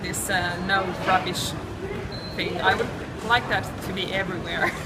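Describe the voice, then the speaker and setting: A woman's voice: lowish voice, steady tone, normal speed. A woman tourist answering a street interview, searching for her words as she goes. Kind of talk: hesitant unscripted street interview. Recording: noisy busy public space.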